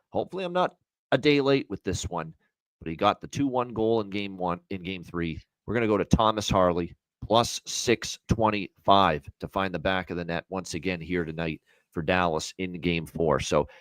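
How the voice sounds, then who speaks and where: A man's voice: deep voice, moderate pace, fairly bright tone, no room sound, poor audio. A man hosting a hockey betting show, moving from upbeat sponsor reads to casual picks with frequent fillers. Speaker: host. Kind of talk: sports betting show chat.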